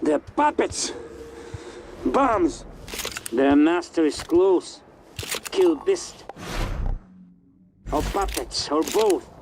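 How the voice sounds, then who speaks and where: A man playing a paranoid, unhinged survivor in a video game, speaking in halting, broken sentences. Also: high-pitched, sing-song, a little mellow, a little hiss or hum, heavily acted. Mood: grim warning. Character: paranoid, unhinged male survivor warning of mind-controlled puppets.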